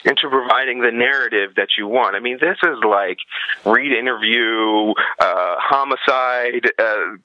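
A man's voice: mid-range voice, measured pace, no room sound, dull audio. A man talking casually as an interview guest, emphatic and opinionated in his delivery. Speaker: podcast guest, activist.

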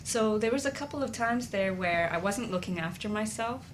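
A woman's voice: medium pitch, animated, moderate pace, a little hiss or hum. A woman co-hosting a talk show, sharing personal experience in an earnest, confiding, conversational way.

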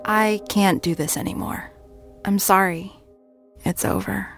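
A reserved but playful girlfriend in an audio play. She talks slowly and with understatement, in a medium-pitched voice. Music is underneath. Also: steady tone, creaky. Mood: resigned. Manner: unhurried, understated. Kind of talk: casual audio drama dialogue. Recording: music behind the voice.

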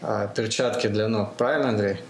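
A man's voice: on the low side, steady tone, a little fast, gravelly. A man hosting a casual interview, speaking informally and prompting his guest with short questions. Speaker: podcast host, interviewer. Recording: roomy echo, faint background noise.

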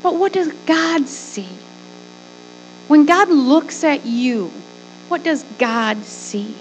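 A woman's voice: high voice, slow pace, soft background music, poor audio. A middle-aged woman preaching conversationally as a pastor, with emphatic, folksy delivery, rhetorical questions and repeated phrases. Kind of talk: conversational sermon with acted-out asides.

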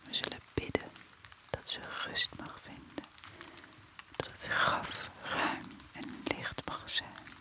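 An actress playing a grieving daughter who narrates an audio drama in a measured, intimate, solemn way. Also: female voice, whispered, lightly acted. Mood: hushed, whispering. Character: grieving daughter narrating her dying mother's last days. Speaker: narrator actor. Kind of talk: literary first-person audio drama narration.

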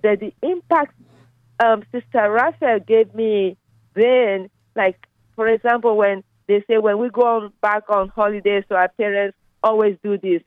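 A woman's voice: medium pitch, no echo. A middle-aged woman from Nigeria with a Nigerian accent, calling in to a radio show and talking in a rambling way with many fillers. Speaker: caller. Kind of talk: casual phone call. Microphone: phone line. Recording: tinny dry phone line.

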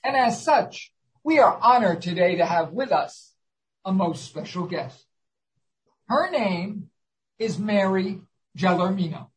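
A man's voice: high voice, lively intonation, slow pace, long pauses, rough recording. A man hosting a talk show, interviewing a guest in an earnest, formal, scripted-sounding manner. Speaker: host.

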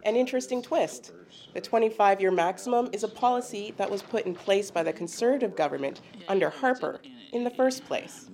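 A woman reporter narrating a news segment in a measured, scripted delivery. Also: mid-range voice, lively intonation, a little mellow.

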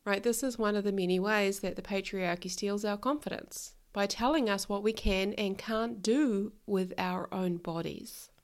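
A woman's voice: mid-range voice, fairly even intonation, normal speed, few pauses. A woman with a New Zealand accent hosts her own podcast in a frank, outspoken monologue. Her voice sounds a little nasal and stuffed up from a recent illness, and she doesn't shy from swearing. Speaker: podcast host, life coach. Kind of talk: casual solo podcast monologue.

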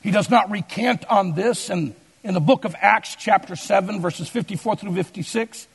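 A man preaching in a fairly high, gravelly voice with a husky edge. He leans on key words and stretches them out slowly for emphasis. His voice rings out with a long reverb, as if in a large church sanctuary. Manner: deliberate preaching with emphatic slow stresses. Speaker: pastor. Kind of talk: sermon.

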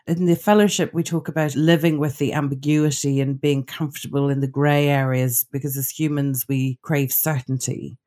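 A male podcast host with a voice pitched slightly high. He asks his guest curious, probing questions in a calm, conversational tone. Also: moderate pace, few pauses. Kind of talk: interview questions.

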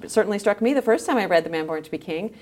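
A woman's voice: mid-range voice, lively intonation, racing, darkish tone. A woman speaking as a podcast guest in a thoughtful, conversational interview style, feeling her way through her phrases.

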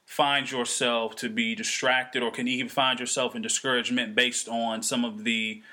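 A young man co-hosting a casual talk show, thinking aloud in halting phrases full of restarts and fillers. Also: medium pitch, little pitch movement, bright, forceful tone. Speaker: podcast co-host. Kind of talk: casual conversation.

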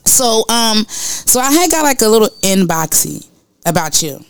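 A woman's voice: fairly high pitch, big pitch swings, normal speed, clean tone, dry recording. A woman hosting a casual live interview, chatty and teasing, often repeating herself. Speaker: host.

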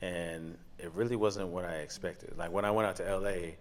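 A man from Pennsylvania, a DJ guest in a casual interview, talking in a relaxed, rambling way with lots of fillers. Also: low voice, moderate pace. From Pennsylvania, USA. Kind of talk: casual conversation.

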